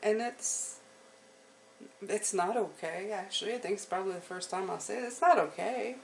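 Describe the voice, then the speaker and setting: A woman giving an unscripted, reassuring tarot reading to her viewers, often pausing to check in with the listener. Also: medium pitch, gravelly, a little hiss or hum, poor audio. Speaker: tarot reader hosting a channel.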